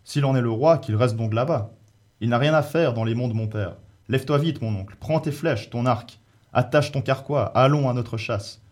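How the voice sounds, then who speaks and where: Guy playing a young giant herdsman in an epic tale, bold n booming, calling out big and defiant. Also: moderate pace, darkish tone, close to an everyday voice. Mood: defiant, demanding. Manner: booming, declamatory calls.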